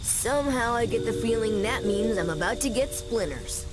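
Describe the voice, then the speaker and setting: A woman voicing a cocky, sarcastic ten-year-old boy hero in an animated video game. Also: a little high, moderate pace, over loud music, close to an everyday voice, low-quality recording. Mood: sarcastic, determined. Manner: brash, sarcastic.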